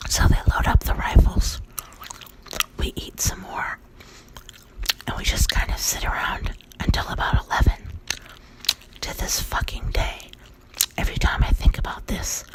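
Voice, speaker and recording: Whispery creepy story narrator, slow and gravelly, swoops up and down a lot. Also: mid-range voice, poor audio. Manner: whispering throughout; casually profane storytelling.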